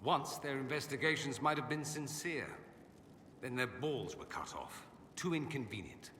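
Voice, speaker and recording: An actor playing a vain, sardonic young aristocratic mage in a video game, with a suave, theatrical and wry delivery. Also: male voice, medium pitch, lively intonation, normal speed, pushed and bright, roomy sound, in a large hall, a little hiss or hum. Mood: wry, explaining. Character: witty, vain aristocratic male mage, sardonic rebel exile. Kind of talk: video game dialogue acting.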